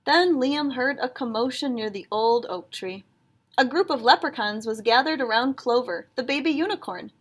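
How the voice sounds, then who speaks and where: High-voiced woman reading a storybook. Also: expressive, moderate pace. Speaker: read-aloud storyteller. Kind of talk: expressive children's picture book read-aloud.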